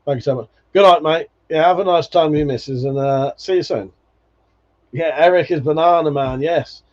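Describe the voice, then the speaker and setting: A man's voice: fairly high pitch, normal speed. A man in his forties hosting a live stream, chatting casually and nostalgically, swearing freely and laughing often as he reads viewer comments. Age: 40s. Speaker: livestream host. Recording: dull lo-fi stream audio.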